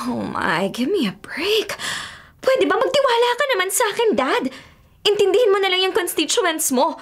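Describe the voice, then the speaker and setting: An actress playing a defiant 21-year-old mayor's daughter in a radio drama, whiny and emphatic, with a Filipino accent. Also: female voice, very high voice, a bit bright, full character voice. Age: young adult.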